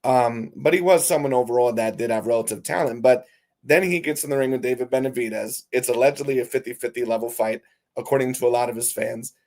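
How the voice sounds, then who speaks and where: A man with a hoarse, raspy voice, talking casually on a live stream as host, reading viewer comments and calling his viewers folks. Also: mid-range voice, quick pace, a little mellow. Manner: chatty, curses casually, calls viewers folks.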